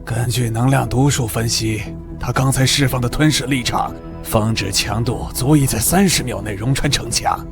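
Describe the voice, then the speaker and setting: An actor voicing a coolly analytical adviser in a motion-comic drama, blunt and measured. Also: male voice, mid-range voice, creaky, over music, close to an everyday voice. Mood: cool, warning.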